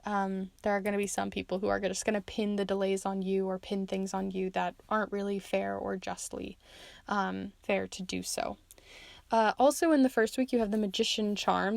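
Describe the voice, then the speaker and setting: A woman's voice: medium pitch, vocal fry, no echo. A woman giving a casual, chatty tarot reading to viewers in an encouraging, informal way, her voice starting to give out. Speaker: host, tarot and charm reader. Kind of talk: casual pick-a-card reading, addressing viewers.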